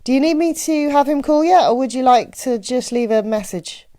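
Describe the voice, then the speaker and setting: Matronly small-town postmistress , played by a female narrator: Midwestern, teasing, folksy, pitched up for an audiobook. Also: moderate pace, dark tone, no echo, studio quality, natural voice. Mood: chatty, helpful. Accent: Midwestern American. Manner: joking, chatty, folksy. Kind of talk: audiobook character voice.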